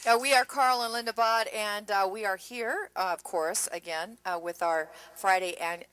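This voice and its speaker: A woman's voice: mid-range voice, normal speed, a bit bright, clean tone. Female radio host, middle register, informal live introductions at a community gathering. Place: indoor meeting room.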